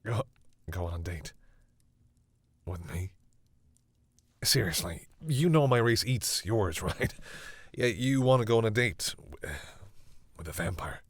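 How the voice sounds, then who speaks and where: Man acting a deep-voiced, bashful vampire boyfriend. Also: lively intonation, husky, dry recording, heavily acted. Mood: flustered, disbelieving. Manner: halting, stammering, bashful. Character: flustered, protective male vampire smitten with a human. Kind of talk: intimate audio roleplay spoken to the listener.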